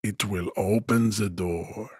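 A haughty, grandiose strongman from a video game, speaks very slowly in a low, husky voice. He declaims like a condescending ideologue, pompous and full of contempt. The recording is dry and close. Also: steady tone, darkish tone, no echo. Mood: condescending pity. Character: haughty, towering male race-theorist strongman, contemptuous and grandiose. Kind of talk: scripted video game character dialogue.

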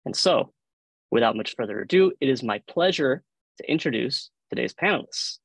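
Male panel moderator, Cuban accent, mid-pitched, formal scripted delivery, dry close video-call sound. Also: animated, moderate pace, vocal fry, breathy edge, no echo. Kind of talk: formal scripted introductions, reading biographies. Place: indoor room on a video call. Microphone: video call microphone.

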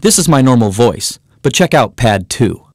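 A man's voice: medium pitch, very animated, moderate pace, husky, dry recording, studio quality. Man presenting a sampler demo. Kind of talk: scripted demo narration.

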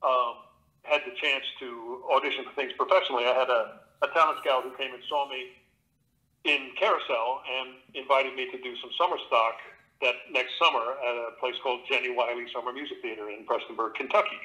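A man's voice: mid-range voice, steady tone, fairly quick, pushed and bright, poor audio. A middle-aged man, an actor and audiobook narrator, talking casually in an interview from his home studio with a theatrical, expansive manner.

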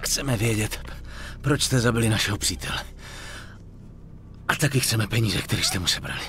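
A tough young gangster hero in a video game. His voice is low and swings widely in pitch, sardonic and questioning. There is slight noise in the background. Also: very animated, a bit bright. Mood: threatening. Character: tough, sceptical young male mobster hero. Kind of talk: dramatic dubbed video game acting.

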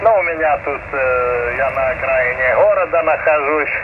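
A man's voice: medium pitch, moderate pace. A middle-aged or older man chatting in a friendly, rambling way over amateur shortwave radio. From Ukraine. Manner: chatty, hesitant fillers, friendly. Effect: shortwave radio transmission. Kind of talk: casual ham radio chat. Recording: muffled, noisy shortwave radio reception. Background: radio static and noise.

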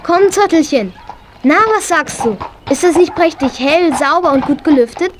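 Woman as affectionate schoolgirl, very high pitch, enthusiastic, children's radio play, light background noise. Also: normal speed, natural voice. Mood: tender. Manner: gushing, tender, enthusiastic. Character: eager, affectionate horse-loving schoolgirl.

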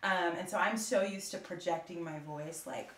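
A woman's voice: on the low side, normal speed, a bit bright. A woman who works as a voice actor, chatting casually and expressively in a roundtable discussion. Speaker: voice actor on a panel. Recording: roomy, echoey room.

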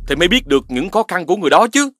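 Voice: mid-range voice, sing-song, racing, no room sound, natural voice. An actor playing a knowing older magical helper in a children's cartoon. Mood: knowing, amused. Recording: music behind.

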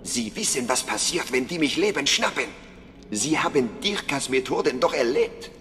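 A man's voice: medium pitch, animated, moderate pace, fairly bright tone. A man playing a desperate, commanding Resistance leader in a dramatic video game cutscene. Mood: desperate, pleading. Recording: long cave reverb, music behind.

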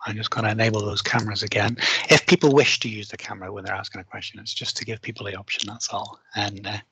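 A man's voice: fairly low pitch, steady tone, fast, husky, dry recording, low-quality recording. A man on a headset video call moderating a conference session, encouraging and chatty as he thanks the speaker and invites questions. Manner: warm, encouraging, rambling thanks. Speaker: conference host. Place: home office on video call.

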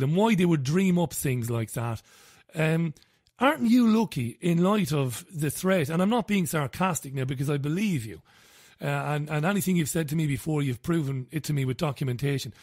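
A man's voice: a little high, a little mellow, dry recording, studio quality. A middle-aged Irish man hosting a radio interview, conversational and a little hesitant, with frequent fillers. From Ireland. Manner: conversational, hesitant, with fillers, reads quotes aloud. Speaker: radio show host.

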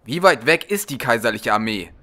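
A man narrates as a self-assured god and war leader in a fantasy storybook. He sounds shrewd, with a bright voice and animated pitch. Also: brisk. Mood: probing.

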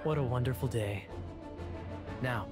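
An actor playing a sly young knight captain in a video game, smooth, unhurried and wryly amused. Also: male voice, mid-range voice, over loud music, lightly acted. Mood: smooth, playful.

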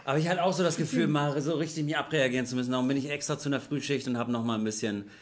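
A man playing an agitated, awkward woodcutter in an improvised audio play, talking colloquially and haltingly. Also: mid-range voice, steady tone, lightly acted. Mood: worked up. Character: agitated male woodcutter, awkward bearer of bad news. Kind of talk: improvised audio drama dialogue. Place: small dark curtained stage. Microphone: stand microphone.

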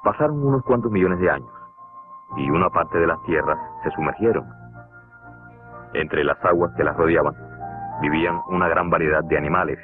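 A man with a Cuban Spanish accent giving measured, scripted documentary narration for a cartoon. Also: low-pitched. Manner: measured, explanatory. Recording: tinny lo-fi narration over music.

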